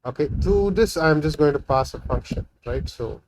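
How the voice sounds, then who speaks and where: A man's voice: fairly high pitch, animated, normal speed, vocal fry, a little mellow, a little hiss or hum, rough recording. Man thinking aloud while coding. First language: Tamil. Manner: thinking aloud, many fillers and "right?" tags. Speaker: programming tutorial host. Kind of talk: casual screen-recorded coding walkthrough.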